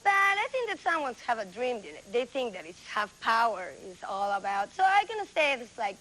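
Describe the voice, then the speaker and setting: A woman from Peru with a Spanish accent, a game show contestant chatting excitably in non-native English. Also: fairly high pitch, moderate pace, low-quality recording. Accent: Peruvian Spanish.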